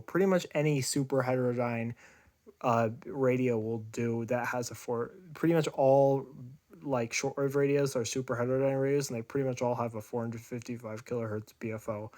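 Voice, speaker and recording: A man giving a casual hands-on demonstration as a radio hobbyist, speaking informally and haltingly as he thinks aloud. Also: mid-range voice, no room sound. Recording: noisy room with loud radio static. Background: shortwave radio noise and signals.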